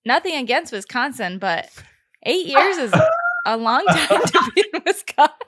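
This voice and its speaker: A woman's voice: sits high, sing-song, moderate pace, a bit bright. A woman from the Northeastern US co-hosting a podcast, chatting and teasing in a casual way. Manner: laughs often, playful teasing. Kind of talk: casual interview chat.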